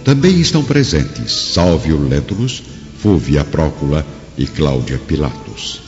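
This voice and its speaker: Grave bass man, Brazilian accent, dramatic radio-play narration, animated delivery, lo-fi with long reverb and music. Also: measured pace. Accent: Brazilian Portuguese.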